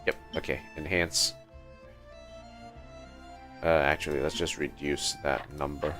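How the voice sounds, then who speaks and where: A man giving casual livestream gameplay commentary, thinking aloud in a halting, muttering way. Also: very deep voice, steady tone, sharp tone, faint music behind. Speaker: game livestreamer.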